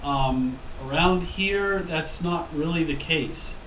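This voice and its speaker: A man's voice: fairly high pitch, slow, fairly bright tone. Casual guy, thirties, stuffed up with a cold, rambly, filmed from a few feet away outdoors with wind blowing. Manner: rambling, self-correcting, frequent ums. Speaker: gardening vlogger. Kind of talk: casual talk to camera. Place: outdoor patio, windy. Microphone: camera mic from a few feet away. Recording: roomy, distant camera audio with wind noise.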